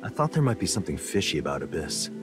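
An actor playing a cool, deadpan, wisecracking special agent in a video game. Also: male voice, deep voice, fast, music in the background. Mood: earnest recounting. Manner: deadpan, dryly joking.

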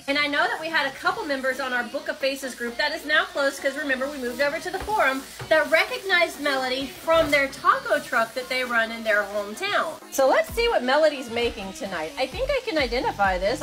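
A woman in her thirties talks to her viewers in a bright, high voice, filming on a phone at arm's length in a home kitchen. She teases and jokes as she goes. Music plays under the recording, with the sizzle of frying. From Kentucky and Tennessee. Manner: playful, teasing, talks to viewers. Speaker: vlogger filming the cooking. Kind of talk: casual chatty home vlog. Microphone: phone held at arm's length.

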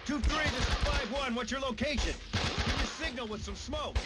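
A man playing a brisk, determined squad leader in a military video game, speaking in clipped phrases. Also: very high voice. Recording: game audio with loud battle noise. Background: loud gunfire.

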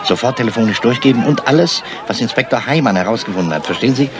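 An actor playing a gruff, stubborn older police inspector in a 1970s German crime radio drama. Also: male voice, medium pitch, expressive, quick pace, crowd noise, noisy background, natural voice. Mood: hushed, urgent.

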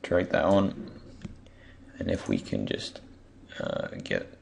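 A man with a croaky, just-woken voice gives an unscripted software tutorial, hesitantly thinking aloud as he goes. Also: rumbling low, very animated, slow. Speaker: tutorial presenter. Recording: faint background noise, keyboard and mouse clicks.

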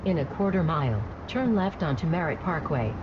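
A man voicing a car GPS navigation assistant, giving scripted prompts in a measured, impersonal way. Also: a little high, expressive, lightly acted. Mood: impersonal, instructive. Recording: muffled, noisy played-back dashcam video audio.

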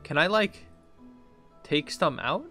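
A man in his thirties hosts a gaming video in a somewhat high voice. He talks casually and in slang, thinking aloud as he reacts to the game, with music playing lightly behind him. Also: expressive, slow pace, pushed and bright. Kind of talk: casual let's play commentary. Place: indoor room, seated at a computer.

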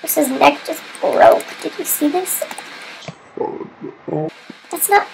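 Female gamer, stuffy-nosed and sniffly, high voice with vocal fry, chatty and self-mocking. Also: slow pace, noisy background. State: stuffy nose, getting sick. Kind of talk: casual gameplay commentary.

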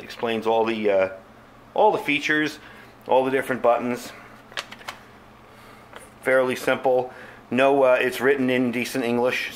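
Male product reviewer, medium pitch, informal and unscripted, talking straight to viewers over audible background noise. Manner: informal, conversational, addresses viewers as folks. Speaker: product reviewer, channel host.

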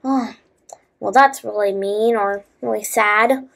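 A woman reading a children's fable aloud as a storyteller, halting at times and adding asides to listeners. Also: mid-range voice, steady tone, creaky, fairly bright tone.

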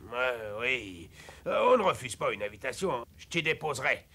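A man voicing a gruff but soft-hearted old grandfather in a French cartoon dub, wry and grumbling. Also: mid-range voice, very animated, normal speed, heavily acted. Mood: hesitant, amused. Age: older. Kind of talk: French animated series dub.

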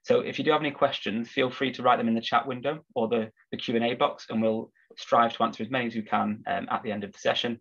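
A man hosting a business webinar, sounding husky from a cold, relaxed with frequent filler words. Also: medium pitch, fast talker, few pauses. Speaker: webinar host. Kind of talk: corporate webinar presentation. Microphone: video call. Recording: slightly dull video call audio.

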